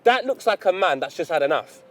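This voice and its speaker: Animated high-pitched London man. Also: a little fast. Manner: slangy, animated, jokey. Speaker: football show co-host. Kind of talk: casual football banter.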